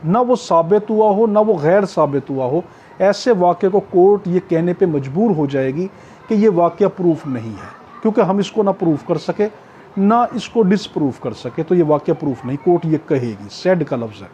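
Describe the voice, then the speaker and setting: High-pitched Pakistani male lecturer. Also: animated, warm tone, highs cut off, slight background noise. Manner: repetitive step-by-step explaining with frequent check-ins; switches into slow English when reading out clauses. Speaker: law lecturer. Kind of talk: explanatory exam-prep lecture, reading clauses and glossing them.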